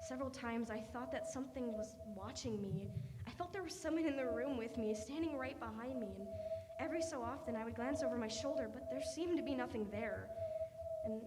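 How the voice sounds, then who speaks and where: In a reverberant hall with clear background noise, an actress plays a frightened young woman in a radio play. She speaks earnestly and haltingly, repeating her words as she goes. Her voice is high. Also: natural voice. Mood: fearful, hushed. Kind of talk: radio drama acting. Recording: noisy stage recording in reverberant hall.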